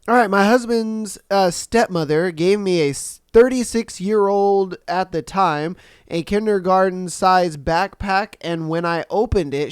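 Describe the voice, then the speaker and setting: A young adult man hosting a casual podcast, reading listener stories and reacting in a slangy, animated way, a little nasal and congested from a cold. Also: high-pitched, smooth, no room sound, clean studio sound. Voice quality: slightly nasal. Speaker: podcast host.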